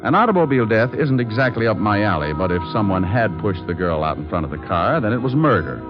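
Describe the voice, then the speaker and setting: A man's voice: fairly low pitch, big pitch swings, fast talker, music in the background. A man playing a flippant, wisecracking private detective in a 1940s radio drama. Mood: wry narration. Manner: wry, flippant, playful. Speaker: lead actor and narrator. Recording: muffled old radio broadcast.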